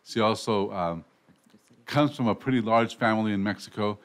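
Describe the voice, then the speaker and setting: A man's voice: on the low side, normal speed. A man hosting a casual radio interview show, rambling with frequent restarts and self-corrections. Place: radio studio.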